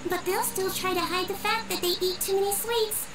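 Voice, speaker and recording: A woman voicing a small, gentle rabbit-eared nurse girl in a video game, calm, thoughtful and articulate. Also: very high voice, brisk. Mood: gently doubting. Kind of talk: scripted video game dialogue.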